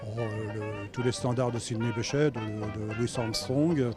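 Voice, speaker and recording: A man who plays in a jazz band from Normandy, answering an informal interview haltingly and repeating words. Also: medium pitch, fairly even intonation, over music. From Normandy, France.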